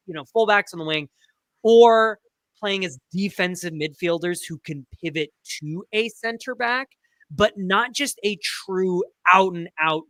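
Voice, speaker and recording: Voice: mid-range voice, a bit bright, no room sound. A podcast panelist in a casual sports discussion, explaining things in long, looping, emphatic runs.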